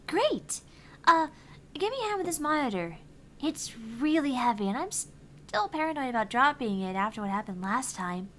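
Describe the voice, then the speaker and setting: A woman's voice: sits high, very animated, normal speed, bright, forceful tone, slight background noise, exaggerated voice. A woman voicing a cheerful, easily flustered young female arcade technician in a visual novel, chirpy and stammering. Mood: bright, friendly. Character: cheerful young female arcade repair techie, eager geek. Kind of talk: visual novel character dialogue.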